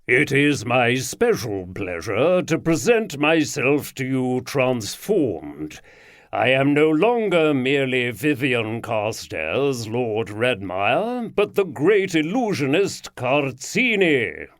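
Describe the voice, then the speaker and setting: A man puts on a self-satisfied aristocratic lord in a storybook, drawling in a rich baritone. He announces slowly and grandly, his pitch swooping up and down. The sound is dry and close. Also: very animated, dry recording. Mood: grandiose. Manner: slow, grand, theatrical announcing. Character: pompous aristocratic male lord, showman.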